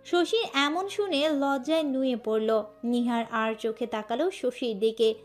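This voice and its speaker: A woman's voice: fairly high pitch, a little slow. A woman giving scripted narration of a romantic story. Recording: music bed under voice.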